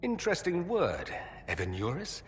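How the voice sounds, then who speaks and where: A man's voice: on the high side, wildly swooping pitch, moderate pace. An actor playing a cultured, sardonic male mage in a video game, speaking in a wry, articulate, musing way. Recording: echoey hall, faint background noise.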